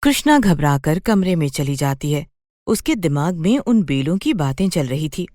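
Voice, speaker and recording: A woman narrator tells a scripted children's story in a fairly low voice. Her delivery moves widely in pitch, and the sound is dry and close. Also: sing-song, normal speed, mellow, no echo.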